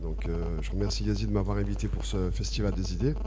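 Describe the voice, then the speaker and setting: A man from France giving a personal testimony at a public debate, halting and repetitive at first, then impassioned and emphatic. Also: low voice, fairly even intonation, mellow, slight background noise. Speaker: association president speaking at a public debate. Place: debate hall with audience. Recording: long reverb of a large hall.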